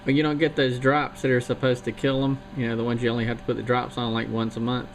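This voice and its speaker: A man from Missouri in casual chat, speaking in a slow, folksy, plain-spoken way. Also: mid-range voice, little pitch movement. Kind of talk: casual chat over radio link. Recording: radio link buried in loud noise.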